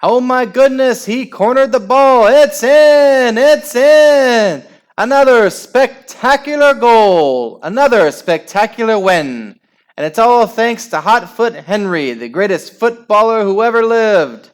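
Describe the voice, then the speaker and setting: A man's voice: very high-pitched, slow, smooth, pitched above the performer's own voice, exaggerated voice. A man doing a frantic boy football commentator. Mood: frantic excitement. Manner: frantic, excited, shouting.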